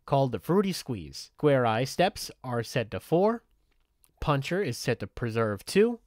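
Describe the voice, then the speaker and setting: Male tutorial presenter. Methodical, step-by-step instruction, mid-pitched, recorded dry and close. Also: big pitch swings, unhurried, dry recording. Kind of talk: step-by-step software tutorial narration.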